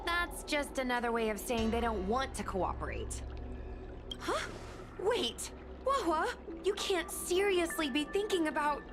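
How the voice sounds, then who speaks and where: Bubbly street performer. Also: female voice, sits high, measured pace. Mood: protesting. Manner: bubbly, eager, expressive. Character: cheerful young female street performer. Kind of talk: game cutscene voice acting. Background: game score music.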